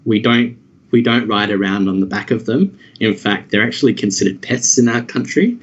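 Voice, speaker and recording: A man's voice: fairly low pitch, normal speed, slightly rough. Australian man explaining, lo-fi sound. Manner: rambling, hesitant, many fillers and restarts. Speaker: interviewee. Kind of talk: casual explanatory conversation. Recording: dull lo-fi audio.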